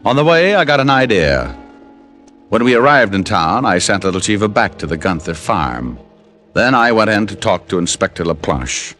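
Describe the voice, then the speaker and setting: An actor playing a hard-boiled American private detective in an old-time radio drama, wry, clipped and pushy. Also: male voice, fairly low pitch, sing-song, moderate pace, a bit bright, faint music behind. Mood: wry narration.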